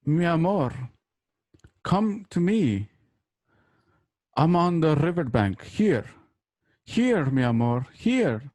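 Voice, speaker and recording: Beckoning lover played by a man: dark-toned, slow, raised calls for a radio drama. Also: a little high, big pitch swings, full character voice. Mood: urgent, beckoning. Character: beckoning male lover calling from afar.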